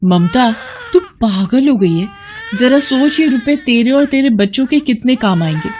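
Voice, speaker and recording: Woman as brash, greedy beggar woman, swooping pitch. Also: lively intonation, measured pace, a little mellow, a little hiss or hum. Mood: insistent, tempting. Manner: brash, insistent. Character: greedy, scornful street beggar woman, scheming mother. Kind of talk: dramatised moral story acting.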